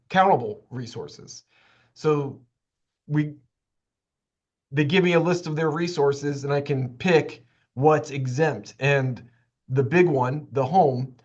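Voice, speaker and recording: A man, an attorney, explaining in a conversational way during a webinar and recounting what he tells clients. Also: on the high side. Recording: slightly dull, lo-fi audio.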